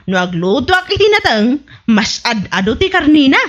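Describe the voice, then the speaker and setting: A woman's voice: high-pitched, very animated, normal speed, a bit bright, full character voice. A woman playing a whiny, quarrelsome village mother in a theatrical comedy radio drama style. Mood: whiny, doubtful. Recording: music under the voice.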